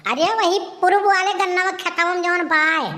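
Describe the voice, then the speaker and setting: A shrill, sharp-edged village woman from a comedy cartoon, speaking with an Awadhi accent. Her voice sits extremely high, and she carries on melodramatically like a wronged, scolding wife. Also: fairly even intonation, normal speed. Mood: teasing, urgent. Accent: Awadhi, eastern Uttar Pradesh. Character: loud village women, wailing and scolding over a cheating husband.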